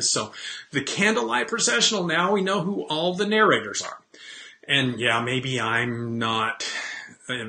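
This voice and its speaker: A man in his fifties hosting a casual, rambling webcam chat from his home office, often clearing his throat. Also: mid-range voice, pushed and bright. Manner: chatty, rambling, self-deprecating, frequent throat clearing. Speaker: host. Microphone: webcam at desk.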